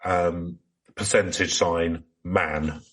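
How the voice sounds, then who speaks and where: Male co-host, deep and rough-edged husky voice, deadpan comic chat. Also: extremely slow. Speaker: podcast co-host. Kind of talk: casual comic banter.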